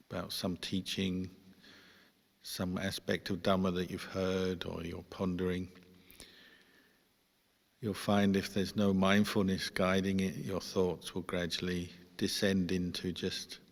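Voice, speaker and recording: Deep, even-toned man guiding meditation in reverb. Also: gravelly. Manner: measured, pausing between short phrases. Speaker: Buddhist monk leading guided meditation. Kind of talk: guided meditation instruction. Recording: long hall-like reverb.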